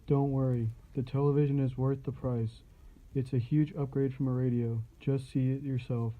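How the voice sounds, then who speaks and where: A man's voice: mid-range voice, flat delivery, moderate pace, highs cut off, lightly acted. A man playing a persuasive salesman in a scripted old-time radio advert.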